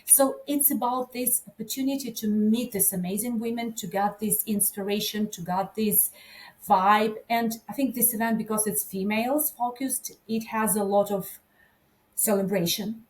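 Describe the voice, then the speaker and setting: A woman's voice: mid-range voice, steady tone, moderate pace, low-quality recording. A woman in her forties from Ukraine, speaking English with a Ukrainian accent as a guest, enthusiastic and full of "like"s. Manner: enthusiastic, filler-heavy speech with many "like"s. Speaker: guest, event organizer. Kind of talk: conversational interview.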